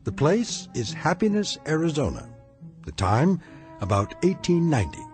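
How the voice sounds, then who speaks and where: An actor narrating an eerie radio anthology as a wry, knowing host, measured and portentous, with an American accent. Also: male voice, on the high side, sing-song, music in the background. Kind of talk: scripted radio drama narration.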